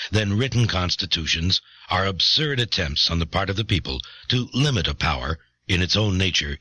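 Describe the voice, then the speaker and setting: A man voicing a grave, authoritative early American chief justice, husky and stately, in a declamatory audiobook reading. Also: deep voice, pushed and bright, heavily acted. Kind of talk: dramatic reading of a historic court opinion.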